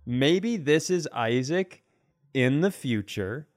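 A man's voice: mid-range voice, animated, takes it slowly, no room sound, clean studio sound. A man co-hosting a comedy podcast in casual conversation, rambling through wry anecdotes and speculation.

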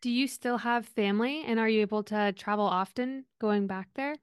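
Woman podcast interviewer, smooth highish voice, chatty n really interested, dry close sound. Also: moderate pace, no room sound. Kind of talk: casual conversational interview.

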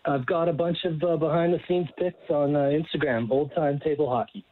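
A man calling in as a guest, talking in a relaxed, halting way with fillers. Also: on the high side. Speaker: guest, table hockey builder. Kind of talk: phone interview. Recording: tinny phone line with faint hiss.

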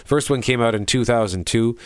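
A man's voice: medium pitch, brisk, dry recording, studio quality. A man co-hosting a show, chatting casually and with animation about entertainment. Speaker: show co-host. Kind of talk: casual chat reviewing entertainment.

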